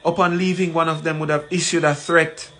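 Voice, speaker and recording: A man in his forties with a Jamaican accent gives an emphatic, deliberate address to camera, repeating phrases for weight. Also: a little high, little pitch movement, a bit bright, faint music behind. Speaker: community leader. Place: small plain room indoors. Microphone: phone at arm's length. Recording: noisy room, ambient background sound.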